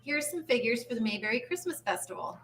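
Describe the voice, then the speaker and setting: A woman's voice: on the high side, big pitch swings, quick pace, fairly bright tone. A woman playing a friendly, slightly unsure small-town woman in a sitcom table read, in a plain, understated way.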